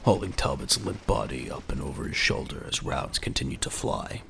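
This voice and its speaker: Deep, gravelly male dramatic narrator. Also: animated, normal speed, fairly bright tone, a little hiss or hum. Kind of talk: scripted dramatic story narration.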